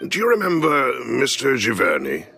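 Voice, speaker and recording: A man playing an attentive, gentlemanly retainer in a video game, speaking formally and courteously. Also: mid-range voice, wildly swooping pitch, moderate pace, creaky, faint music behind, exaggerated voice. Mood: solicitous.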